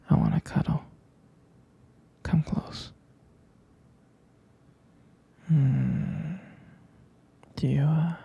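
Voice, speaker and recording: A man playing a tender, protective boyfriend in an ASMR roleplay, murmuring hesitantly and intimately in a breathy, husky whisper. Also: on the high side, mellow, highs cut off, exaggerated voice. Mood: soft, affectionate. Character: jealous, protective, tender boyfriend consoling his partner.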